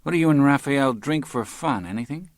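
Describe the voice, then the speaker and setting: A man's voice: mid-range voice, animated, fairly quick, dry recording, clean studio sound, voice pushed up, lightly acted. A man voicing a cool, laconic man who speaks in short, offhand phrases.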